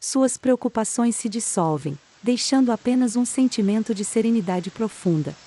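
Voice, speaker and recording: A woman with a Brazilian accent calmly guides a relaxation meditation, reading in a soothing, unhurried manner with pauses between phrases. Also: a little high. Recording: steady rain sound behind the voice. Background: soft rain.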